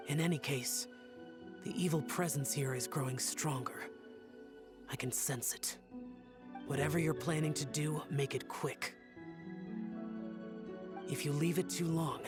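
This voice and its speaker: An actor playing a stern, aloof young guardian in an anime game, formal and measured in delivery. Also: male voice, on the high side, lightly acted. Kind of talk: dramatic anime game voice acting. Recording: music behind the voice.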